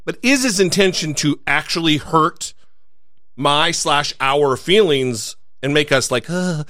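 Animated, bright-voiced sarcastic male host. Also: on the high side, a little slow, dry recording, clean studio sound. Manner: sarcastic, exasperated, swears freely. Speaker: podcast co-host. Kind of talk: casual podcast chat.

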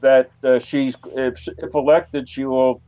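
A man explaining things as an interview guest, in a halting way that often repeats words. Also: medium pitch, little pitch movement, dark tone, clean tone, dry recording. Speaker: guest, UFO researcher and author.

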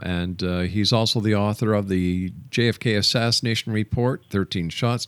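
A man's voice: on the low side, no echo. A man hosting a radio talk show from a studio, interviewing and announcing. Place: broadcast studio.

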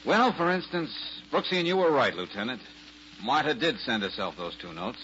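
An actor playing a wry, joking detective in an old radio drama, breezy with stammering fillers. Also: male voice, mid-range voice, sing-song, moderate pace, a bit bright, slight background noise. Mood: coy. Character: wry, joking male detective, quick-witted. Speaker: amateur sleuth. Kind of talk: 1940s radio acting. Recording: dull lo-fi old radio recording.

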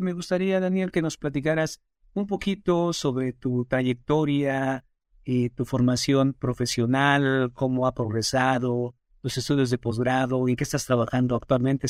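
A man hosts an interview and asks his questions in a formal, courteous way. His voice sits at a middle pitch. The sound is close and dry. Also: steady tone, normal speed, dry recording. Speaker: interview host. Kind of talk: hosted interview.

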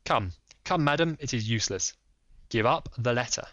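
A man's voice: medium pitch, expressive, fairly bright tone, dry recording, dull audio. A man doing a firm, commanding detective. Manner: measured, insistent, authoritative. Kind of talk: audiobook reading.

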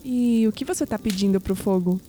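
A woman's voice: medium pitch, fairly quick, dark tone, a little hiss or hum, close to an everyday voice. A woman playing a curious, teasing young skeptic with a Brazilian accent in an audio drama, speaking in a wry, intimate way.

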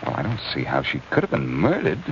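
Radio detective, low lively voice, smooth, courteous, take-charge, muffled old broadcast. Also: male voice, fast, full character voice. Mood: brisk. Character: calm, courteous, quick-witted amateur male detective. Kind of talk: 1940s radio acting. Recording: muffled, noisy old-time radio recording.

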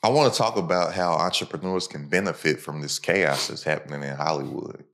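A man's voice: a bass voice, a little fast, a bit bright. A man hosting a podcast, talking casually in an informal, slangy, motivational way to his listeners. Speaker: podcast host and entrepreneur.

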